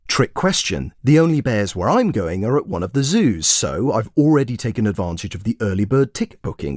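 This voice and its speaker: Man acting a pompous, low-voiced obsessive planner. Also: no echo, studio quality. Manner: pompous, precise, condescending. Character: smug, pedantic, self-admiring obsessive male traveller packing. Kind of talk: first-person comic monologue, scripted audio story.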